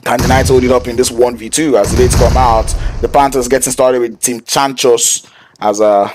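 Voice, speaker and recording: A man, a Yoruba speaker, calling an esports match play-by-play in an excitable, slangy way. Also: medium pitch, normal speed, noisy background. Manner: excitable, slangy, jokes with chat. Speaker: esports caster.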